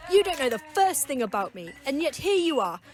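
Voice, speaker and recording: A woman's voice: high-pitched, sing-song, brisk, a little hiss or hum. A woman acting a prickly, defensive young solicitor who works behind a bar, in an amateur audio drama, curt and indignant. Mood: hurt, defensive. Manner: curt, indignant, sharp-tongued.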